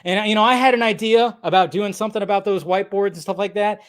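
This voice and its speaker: A man's voice: high-pitched, steady tone, fast, a bit bright, clear, resonant tone. A man hosting a live fan commentary show in an unscripted rant, exasperated and blunt, swearing heavily and repeating phrases for emphasis.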